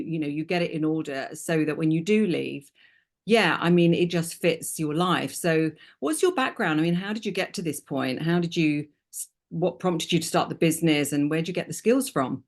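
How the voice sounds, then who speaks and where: A woman's voice: low-pitched, moderate pace. A woman hosting an interview, who welcomes her guest in a friendly, enthusiastic way with many hesitations. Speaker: host. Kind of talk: casual interview.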